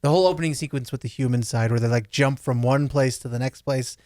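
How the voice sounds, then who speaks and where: A man's voice: mid-range voice, quick pace, a little mellow, dry recording, clean studio sound. A man co-hosting a podcast in casual conversation. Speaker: podcast co-host. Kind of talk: casual chat.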